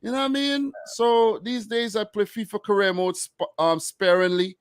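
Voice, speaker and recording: A man in his mid-thirties chats loosely about football as a podcast co-host, his voice sitting a little high. He rambles, restarts and leans on "you know" and "man". The sound is dry and close. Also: steady tone, normal speed, smooth, dry recording. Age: 30s. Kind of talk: casual chat.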